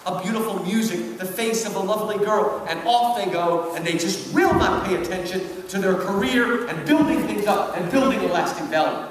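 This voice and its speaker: A man's voice: sits high, moderate pace, few pauses. A man in his fifties giving an emphatic public lecture, putting questions to his listeners and checking that they follow. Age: 50s. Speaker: lecturer, professor. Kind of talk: animated public lecture commentary. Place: large indoor hall. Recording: echoey hall, distant mic, background noise.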